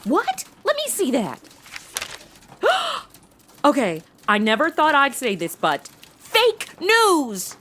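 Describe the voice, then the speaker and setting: A woman's voice: sits high, wildly swooping pitch, slow pace, long pauses, a bit bright, exaggerated voice. A young woman playing a flippant, indignant, slangy modern detective's assistant in a comedy audio drama. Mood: exasperated. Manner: indignant, flippant, slangy valley-girl delivery.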